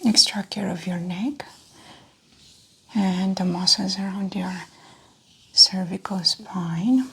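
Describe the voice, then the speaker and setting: A woman in an ASMR medical roleplay, speaking in a soft, breathy whisper and calmly explaining each step as the examiner. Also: medium pitch, slow, long pauses.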